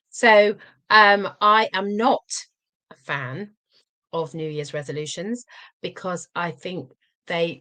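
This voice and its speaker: Low-pitched woman, slightly croaky, thoughtful podcast co-host. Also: a bit bright. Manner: thoughtful, with many ums and restarts. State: slightly croaky after a cold. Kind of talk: casual chat.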